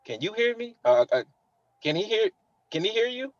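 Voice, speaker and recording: A man's voice: high voice, big pitch swings, moderate pace, no room sound, dull audio. A man playing an angry, impatient army captain in a screenplay table read, blunt and commanding.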